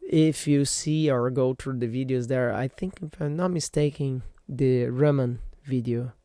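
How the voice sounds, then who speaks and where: A man whose first language is Portuguese talks alone into a computer microphone for his podcast. His voice sits at an ordinary pitch with a dark, mellow tone, and the sound is close and dry. He rambles, corrects himself and stacks up fillers as he goes. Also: fairly even intonation, unhurried, no echo. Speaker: podcast host. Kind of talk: casual solo podcast monologue.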